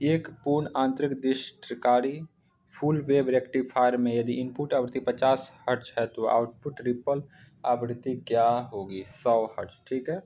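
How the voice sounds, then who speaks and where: A man's voice: medium pitch, fairly even intonation, normal speed, warm tone, a little hiss or hum, rough recording. An Indian man teaching exam practice, reading out questions and following each with a short answer.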